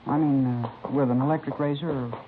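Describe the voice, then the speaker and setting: A man acts out a troubled teenage boy in an old radio crime drama. He is evasive and halting. The recording is muffled, narrow and noisy. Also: medium pitch, fairly even intonation, close to an everyday voice. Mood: uneasy, probing. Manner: hesitant, halting. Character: troubled seventeen-year-old male student, evasive, confessing to knifings. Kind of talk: 1950s radio drama acting.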